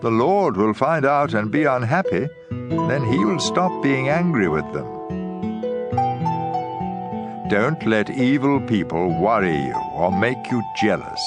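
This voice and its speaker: An actor playing a stern sage in a dramatized scripture reading, giving emphatic warnings. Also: male voice, mid-range voice, very animated, measured pace. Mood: admonishing. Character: stern male sage warning against drink and fools. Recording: music playing behind the voice. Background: guitar and instrumental music.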